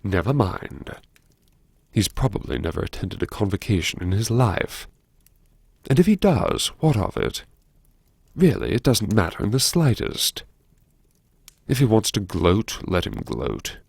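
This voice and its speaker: A man performing a first-person audiobook monologue as a brooding, wry character who anxiously lectures himself in a theatrical, musing way with dramatic pauses. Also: lowish voice, sing-song, vocal fry, a little mellow, slightly rough, no room sound, studio quality, full character voice. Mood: bitter self-reassurance. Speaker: audiobook narrator.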